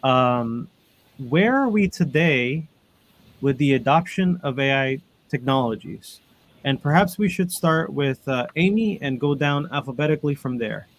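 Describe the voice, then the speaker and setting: A man's voice: medium pitch, lively intonation, measured pace, a little hiss or hum. A man hosting a webinar panel, reading out guest introductions over a video call with frequent ums and uhs. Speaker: panel moderator.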